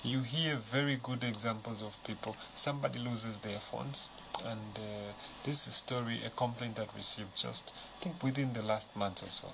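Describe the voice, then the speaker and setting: A man, a bank official, explaining in a measured, patient way in a studio interview. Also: medium pitch, fairly even intonation, vocal fry. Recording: noisy studio background.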